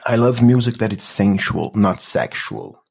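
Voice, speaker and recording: A man's voice: fairly low pitch, very animated, unhurried, vocal fry, a little mellow, husky. A man from Brazil with a Brazilian Portuguese accent, talking in a casual, reflective way with many restarts and fillers. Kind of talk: casual conversation.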